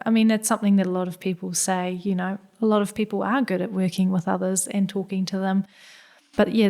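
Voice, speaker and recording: Hungarian-born woman w kiwi accent, 30s, steady flat delivery, lots of yeahs and i guess. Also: mid-range voice. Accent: New Zealand. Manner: reflective, many "yeah" and "I guess" fillers. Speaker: guest scientist. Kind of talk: podcast interview.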